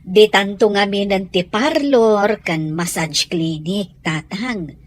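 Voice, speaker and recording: A woman playing a kindly, dutiful grown daughter in a radio-style drama, gentle and respectful. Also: medium pitch, slow pace. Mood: affectionate. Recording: faint background noise.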